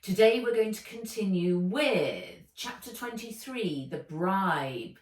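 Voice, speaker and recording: A woman narrates a children's book as its author. Her reading is deliberate and very animated in pitch, at a slow pace. The sound is echoey, as if a little way from the microphone. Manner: expressive, deliberate storytelling. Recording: roomy echo, distant mic.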